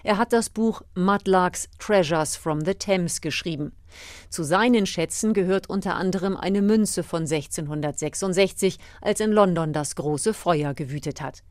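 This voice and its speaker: Lower-pitched woman narrating, dry sound. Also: normal speed, no room sound. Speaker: reporter narrating a radio feature. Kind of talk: scripted documentary narration.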